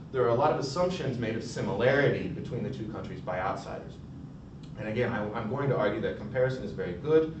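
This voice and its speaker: Young American academic presenting casually with filler words, recorded far off in a rumbly, muffled room. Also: male voice. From United States. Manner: casual, chatty, many fillers and restarts. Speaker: academic conference speaker. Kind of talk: recorded conference talk. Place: college room. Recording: distant, echoey, dull, noisy rumble.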